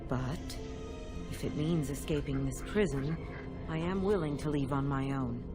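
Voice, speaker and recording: A woman's voice: extremely low pitch, a little slow. A woman voicing a sly female demon that speaks as a talking cat, silky and coldly formal. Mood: bargaining. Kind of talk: video game cutscene voice acting. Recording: game audio with music behind.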